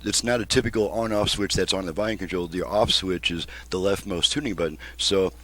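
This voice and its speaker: Low-voiced man giving a repair demo. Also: a little fast, sharp tone. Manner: plain-spoken, methodical step-by-step explaining. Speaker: radio repair technician, video host. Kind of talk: casual hands-on demonstration.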